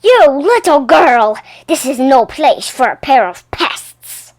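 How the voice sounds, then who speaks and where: A woman's voice: high voice, sing-song, slow pace, dry recording, exaggerated voice. A woman voicing a stern, haughty opera patron scolding indignantly in a storybook reading.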